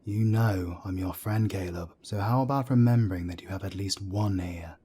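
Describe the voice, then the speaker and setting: A man playing a calm, firm older werewolf councillor and mentor in an audio drama, with a soft, breathy rasp. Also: sits low, slight background noise, lightly acted. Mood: calm, reassuring. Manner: measured, firm, reassuring. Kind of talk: scripted roleplay audio drama.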